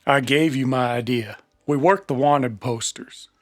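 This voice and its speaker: Actor as a slow-talking, sardonic western outlaw partner. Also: male voice, mid-range voice, no echo, clean studio sound, soft background music, lightly acted. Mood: defensive. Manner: sardonic, grudging. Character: sarcastic, reluctant Old West outlaw partner, grown man. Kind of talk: old-time radio western acting.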